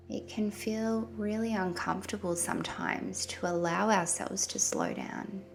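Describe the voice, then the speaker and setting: A woman leading a guided meditation in a gentle, soothing manner with long pauses between phrases. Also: on the low side, vocal fry. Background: soft background music.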